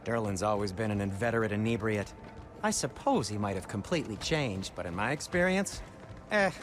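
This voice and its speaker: An actor playing a fussy, excitable dwarf craftsman in a video game, wordy, fastidious and precise. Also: on the low side, background noise, lightly acted. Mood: squeamish, chirpy. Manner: fastidious, animated, precise diction. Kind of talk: animated video game acting.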